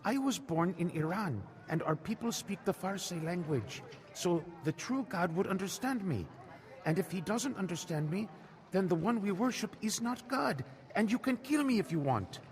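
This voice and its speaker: A man's voice: high-pitched, expressive, normal speed, big-room sound. An actor playing an earnest, defiant Iranian man in a radio drama, at times narrating his own story. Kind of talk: radio drama acting and first-person narration.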